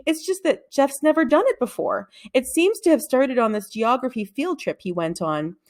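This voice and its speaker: A woman reading a storybook, voicing a courteous, persistent female schoolteacher who gently probes. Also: sits high, big pitch swings, normal speed, pitched above the performer's own voice. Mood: earnest, probing.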